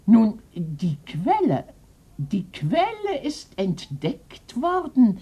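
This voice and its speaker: An actress playing an eccentric, proud old lady in a radio mystery, husky and theatrical, drawing out her words. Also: a little high, wildly swooping pitch, drawn-out words, warm tone, dull audio, heavily acted. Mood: nostalgic, grand. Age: older. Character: eccentric old lady, dreamy, proud former jungle traveller. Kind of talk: 1990s German radio mystery play.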